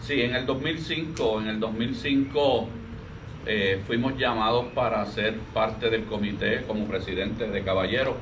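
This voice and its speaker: A man in his forties with a Puerto Rican accent, a pastor talking about his life in a relaxed interview, soft-spoken and halting with frequent restarts. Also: fairly low pitch. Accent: Puerto Rican Spanish. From Puerto Rico. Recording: distant mic with faint ambient noise.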